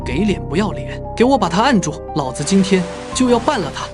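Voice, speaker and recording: An actor playing an arrogant young village bully who taunts with a sneer, over loud background music. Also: male voice, high-pitched, very animated, fairly quick. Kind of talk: animated drama dubbing.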